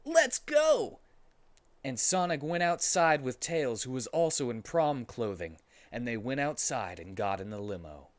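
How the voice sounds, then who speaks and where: A man reading amateur fiction aloud as a streamer, in a mock-serious, animated comic style. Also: medium pitch, normal speed, hardly any pauses, no room sound.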